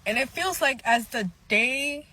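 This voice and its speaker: A woman in her late twenties speaks very slowly in a hesitant, confessional vlog style. She sounds emotional and near tears, and there is light ambient noise behind the voice. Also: high-pitched, animated, pushed and bright.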